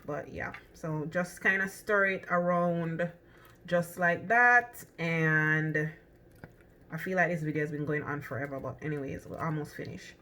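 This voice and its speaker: A woman's voice: low-pitched, fairly even intonation, measured pace, bright, forceful tone. A woman with a Jamaican accent chatting casually through a cooking demonstration in her home kitchen, thinking aloud with lots of ums and restarts. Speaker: cooking vlogger.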